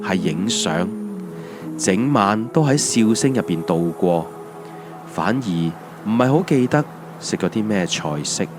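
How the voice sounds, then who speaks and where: A man's voice: lowish voice, animated, unhurried. A man with a Hong Kong accent, narrating a scripted autobiographical audio drama in a reflective, wry storytelling manner. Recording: music under the voice. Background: background music.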